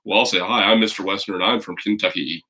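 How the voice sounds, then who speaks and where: A man's voice: lowish voice, fairly even intonation, fast talker, pushed and bright. A middle-aged American man hosting a casual livestream, chatting in a rambling way to viewers and a child. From United States. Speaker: host, teacher reading stories to viewers. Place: home, makeshift video corner.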